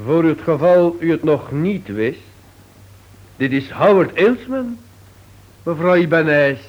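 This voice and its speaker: A confident, insistent investigator in a radio mystery. His lightly high voice rises and falls freely as he questions politely. The old recording is muffled. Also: expressive, slow. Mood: assured. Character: self-assured, reassuring yet insistent male investigator. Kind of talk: Dutch radio play acting.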